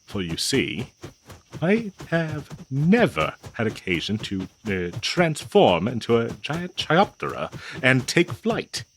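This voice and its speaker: Guy acting a fussy old-timey gentleman husband, stammery and wordy, voice swoops around, takes his time, comedy audio play. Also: mid-range voice, wildly swooping pitch, takes it slowly, slight background noise, heavily acted. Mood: fond, awkward. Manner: theatrical, verbose, nervously stammering and hesitant. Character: flustered, devoted 19th-century gentleman husband of a werebat. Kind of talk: comedic audio roleplay in old-fashioned diction.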